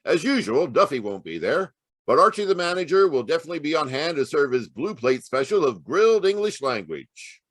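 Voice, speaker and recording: Animated man announcing old-time radio. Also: on the high side, brisk, no echo.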